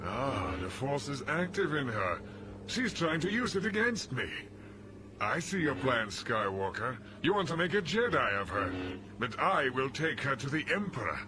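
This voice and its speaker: Man playing a theatrical, threatening dark Jedi villain, swooping pitch. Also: big pitch swings, normal speed, noisy background, natural voice. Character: menacing male dark Jedi villain, fanatical servant of the Emperor. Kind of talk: audio drama acting. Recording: loud music over the voice.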